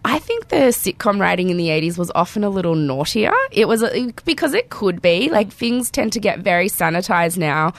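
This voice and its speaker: A woman with an Australian accent hosting a casual podcast chat, her voice hoarse and croaky from a cold, chatty and enthusiastic. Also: medium pitch, expressive, moderate pace, few pauses, no echo. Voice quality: croaky, voice cracking. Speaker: podcast host. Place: podcast studio.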